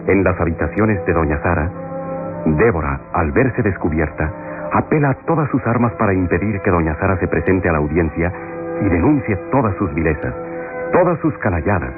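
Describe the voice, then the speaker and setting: A man narrating a radio soap in a dramatic, declamatory scripted style. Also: sits low. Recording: tinny old radio recording with music.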